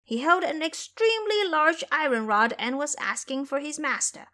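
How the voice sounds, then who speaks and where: A woman's voice: sits high, sing-song, moderate pace, bright, forceful tone, pitched above the performer's own voice, exaggerated voice. A woman voicing a frightened little imp servant, urgent and deferential, in an audio drama.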